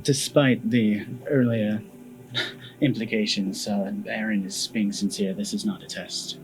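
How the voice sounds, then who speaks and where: A man's voice: on the low side, fairly even intonation, a little slow, soft background music, poor audio. A man playing in a tabletop game podcast, talking casually with the group.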